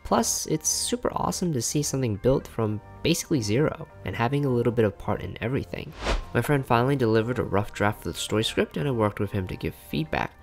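A man's voice: mid-range voice, lively intonation, quick pace, gravelly, a little mellow, faint music behind. A young man reading scripted narration in an informal, explanatory way, as a developer presenting his work. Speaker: software engineer and video creator narrating a dev log.

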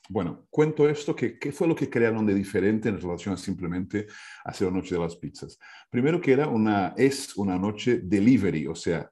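A man's voice: lowish voice, mellow. A middle-aged man from Brazil with a Brazilian accent, a native Portuguese speaker, explaining things informally like a storyteller on a headset during a video call, often adding short tag questions. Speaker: speaker sharing an idea. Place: indoor room, video call. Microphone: wired earphone headset.

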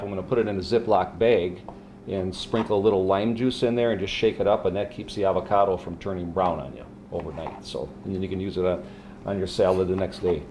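An older American man co-hosting a cooking show, casually passing on kitchen tips. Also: low voice. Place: indoor kitchen, steel worktop. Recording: noisy kitchen room sound.

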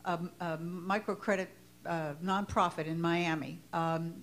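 A woman's voice: lowish voice, steady tone, measured pace, slight background noise. A woman in the audience telling a short story and asking a question, hesitant, with many fillers.

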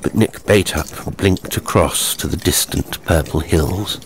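A low-voiced man reads a horror story at an unhurried pace. His delivery is measured and theatrical, with some noise behind the voice. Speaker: narrator. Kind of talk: scripted horror story narration.

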